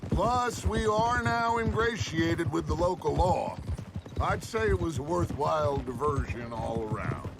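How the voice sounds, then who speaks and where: Very noisy outdoor game scene: Old West outlaw leader, higher-set voice, assured, persuasive, grandly spoken. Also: male voice, animated, a little slow, creaky. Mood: wry, easy. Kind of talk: video game cutscene acting. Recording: loud outdoor background noise, horse hooves.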